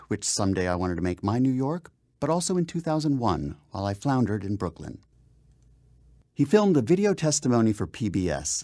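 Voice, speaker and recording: A man's voice: on the low side, darkish tone, dry recording. A man reading his own memoir aloud as a dramatic first-person narration, with theatrical, emphatic phrasing, close on a studio microphone. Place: voiceover studio.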